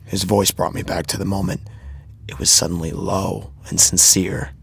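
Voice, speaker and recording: A man narrating a first-person horror story dramatically, with a grave, intense delivery and a husky, gravelly voice. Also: sits low, little pitch movement, moderate pace, a bit bright.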